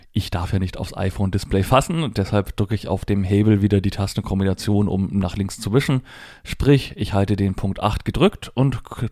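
A man's voice: on the low side, mellow, no echo, studio quality. A man explaining a device step by step in a matter-of-fact, conversational tutorial style. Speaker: presenter demonstrating assistive technology. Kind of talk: hands-on spoken tutorial, unscripted step-by-step explanation.

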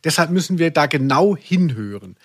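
A man's voice: a little high, animated, moderate pace, husky. A man hosting a humorous podcast, talking in a wordy, mock-serious way. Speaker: podcast host.

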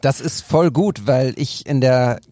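A man's voice: mid-range voice, measured pace, dark tone, dry recording. A man hosting a podcast, chatty and a bit jokey, sounding a little tired late in the evening. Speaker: podcast host.

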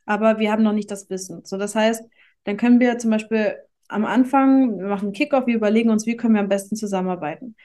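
A woman's voice: medium pitch, quick pace, dark tone. A woman giving casual explanations as an interview guest, informally working through examples and adding tag questions. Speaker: interview guest, marketing company managing director.

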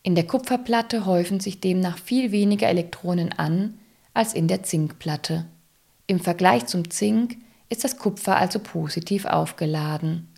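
A woman's voice: on the low side, moderate pace, gravelly, a little mellow, slightly rough. A woman reading scripted, explanatory narration for an educational audio drama.

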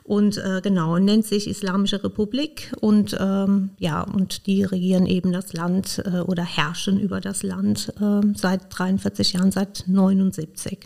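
An Iranian-born woman talks in a relaxed interview. Her voice is mellow and has a gravelly edge. She stops often to search for words and fills the gaps with little sounds. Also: medium pitch, a little slow, hardly any pauses. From Iran. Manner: hesitant, many fillers. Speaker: podcast guest, social worker. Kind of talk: casual interview talk.

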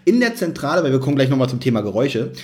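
A man's voice: mid-range voice, big pitch swings, racing, darkish tone. A man co-hosting a podcast, casually retelling a story, searching for words and slipping into little acted quotes. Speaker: podcast co-host.

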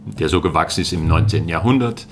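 Man, low voice, gravelly, hesitant with many fillers, faint background noise. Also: fast. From Netherlands. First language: Dutch. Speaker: guest, linguistics lecturer. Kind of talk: casual interview answers.

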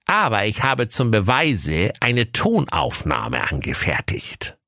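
A performer playing a gruff, dim retired male police inspector, ponderous and deadpan, in a comic fairy tale audio play. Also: mid-range voice, takes it slowly, no echo, clean studio sound, exaggerated voice. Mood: ponderous, mysterious.

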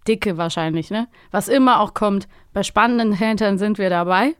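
A woman's voice: mid-range voice, moderate pace, clean tone, dry recording, clean studio sound. A woman co-hosting a podcast about radio plays, chatting casually, laughing often and using lots of fillers. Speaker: podcast co-host.

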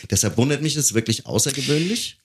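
A man in casual conversation, explaining things informally with hesitant pauses. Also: mid-range voice, animated, creaky, slightly rough. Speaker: podcast speaker knowledgeable about singing.

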